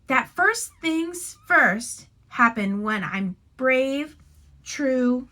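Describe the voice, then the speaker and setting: Female teacher type for children's read-aloud: high voice, lively swooping intonation, expressive storybook delivery. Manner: expressive, deliberate phrasing, addressing young listeners. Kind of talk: animated picture-book read-aloud with friendly asides.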